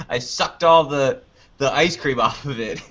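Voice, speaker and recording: A man's voice: mid-range voice, a little slow, fairly bright tone, muffled recording. A man chatting casually and jokingly as a guest being interviewed, with a playful way of talking. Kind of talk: casual chat.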